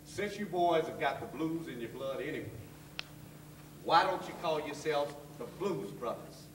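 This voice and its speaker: A man's voice: fairly high pitch, lively intonation, natural voice. Man playing a folksy old Southern janitor. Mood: warm, conspiratorial. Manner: folksy, drawn-out storytelling. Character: kindly, wary old blues-loving orphanage janitor, fatherly mentor. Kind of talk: stage acting. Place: theatre stage, far camera. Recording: distant echoey theatre stage, noisy.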